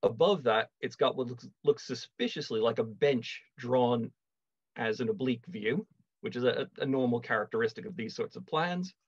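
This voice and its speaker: A middle-aged man giving a measured online lecture on a video call, with wry asides. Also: mid-range voice, slightly rough, dry recording. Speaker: lecturer, archaeology project manager. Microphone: video call webcam. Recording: dull, dry video call audio.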